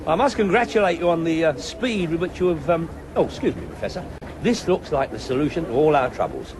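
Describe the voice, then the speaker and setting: Actor as a blustering, high-voiced British shopkeeper. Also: male voice, big pitch swings, a little fast. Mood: relieved, polite. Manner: blustering, impatient. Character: exasperated, civic-minded British shopkeeper and family man. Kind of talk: audio drama acting. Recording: noisy recording with background crowd.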